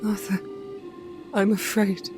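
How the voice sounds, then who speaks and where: A woman's voice: mid-range voice, big pitch swings, slow. A woman playing a frightened, fragile young lady in a dramatic old-time radio play. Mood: fearful, pleading. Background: prominent music.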